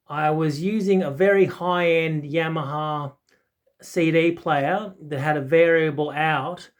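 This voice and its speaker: A man in his forties giving a casual, unscripted product talk, rambling and often hesitating or correcting himself. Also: a little high. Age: 40s. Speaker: audiophile video host.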